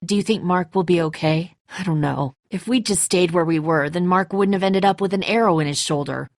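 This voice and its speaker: A woman's voice: on the low side, fairly quick, dry recording, studio quality, natural voice. An actress playing a tense, defensive woman who leads a group of survivors in a dramatic video game, blunt, confrontational and exasperated. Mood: worried.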